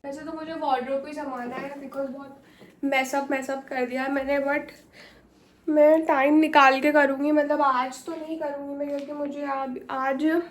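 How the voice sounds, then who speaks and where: A woman's voice: high voice, level tone, a little slow. A young woman from India chatting to camera at home in a rambling vlog, her voice a little off from a sore throat. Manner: chatty, rambling, many fillers. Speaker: vlogger. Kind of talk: casual chat to camera.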